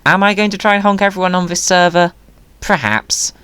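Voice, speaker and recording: A man's voice: sits high, a little fast, bright, forceful tone, clean tone, no room sound. Playful man narrating his gaming video. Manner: playful, whimsical wording. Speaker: video creator. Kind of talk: casual gaming commentary.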